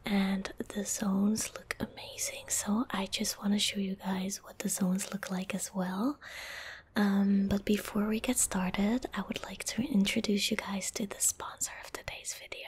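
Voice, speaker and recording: A young woman speaking in a gentle, hushed ASMR whisper, breathy and close to the microphone. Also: medium pitch. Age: young adult. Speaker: ASMR video creator. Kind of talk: soft-spoken ASMR. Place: small indoor room at home. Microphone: close desk microphone.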